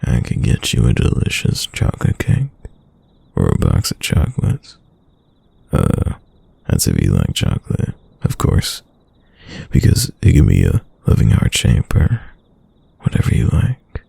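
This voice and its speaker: Man playing a very deep, gravelly, murmuring boyfriend. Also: huge pitch swings, a little slow, mellow, no room sound, exaggerated voice. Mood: tender. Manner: tender, musing, murmured. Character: devoted soft-spoken boyfriend whispering to his sleeping lover. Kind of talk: boyfriend roleplay ASMR, late-night intimate talk.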